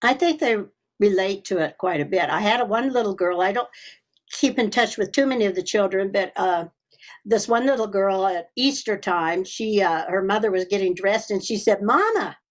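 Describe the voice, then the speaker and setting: A woman's voice: mid-range voice, normal speed. Senior female storyteller guest, mid-range voice, cheerful folksy conversational delivery. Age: 60s or older. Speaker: interview guest, children's story reader and teacher. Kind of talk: casual chat.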